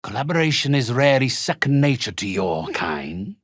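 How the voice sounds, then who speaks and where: An actor playing a haughty, theatrical undead necromancer in a video game, speaking with pompous, condescending, ornate phrasing. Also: male voice, mid-range voice, very animated, normal speed, slightly rough, no room sound, studio quality. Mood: lofty. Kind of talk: video game character acting.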